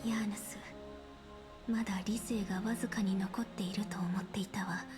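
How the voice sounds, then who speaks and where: An actress playing a solemn, resolute young female saint in a video game, with a grave, measured, breathy delivery. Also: medium pitch, steady tone, lightly acted. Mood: sorrowful. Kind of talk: Japanese video game voice acting. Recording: game music under the voice.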